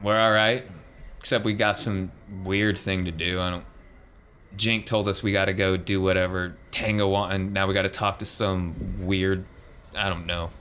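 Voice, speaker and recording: A man playing an uneasy, grumbling hired thug who complains haltingly in a tabletop game. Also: low-pitched, flat delivery, fairly bright tone, slight background noise. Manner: halting, plain-spoken complaining.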